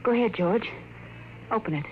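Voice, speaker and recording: A woman's voice: medium pitch, animated, moderate pace, noisy background, lightly acted. An actress playing an uneasy suburban wife and mother, worried and insistent, in a 1950s radio drama. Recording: muffled old radio broadcast with music.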